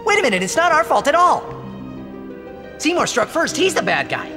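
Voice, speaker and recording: A brash young video game hero. He sounds youthful and casual, quick to protest, with a lively, swinging delivery. Music plays behind him. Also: very high voice, exaggerated voice. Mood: indignant protest. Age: young adult. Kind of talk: video game cutscene acting.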